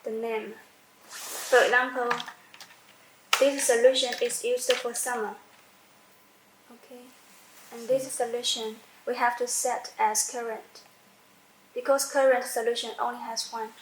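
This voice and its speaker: An echoey recording of a woman with a slightly high voice presenting a software tutorial. She speaks slowly and haltingly, step by step, often repeating a phrase while she finds the next word. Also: flat delivery. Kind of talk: unscripted step-by-step software demonstration. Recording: echoey room with faint background noise.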